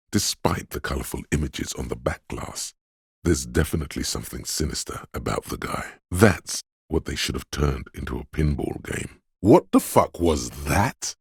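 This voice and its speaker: Game narrator casting: menacing primal instinct, gravel-heavy male voice, unhurried, deliberate, very dry close sound. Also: dry recording, full character voice. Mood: wary, then aggressive. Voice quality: gravelly, husky, very deep. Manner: brooding, deliberate, ominous. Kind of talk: dramatic second-person game narration.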